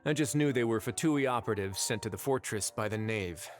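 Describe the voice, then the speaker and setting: Man voicing a low, composed, shrewd commander. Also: faint music behind, natural voice. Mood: calm, explanatory. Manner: measured, formal, wry. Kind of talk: scripted video game voice acting.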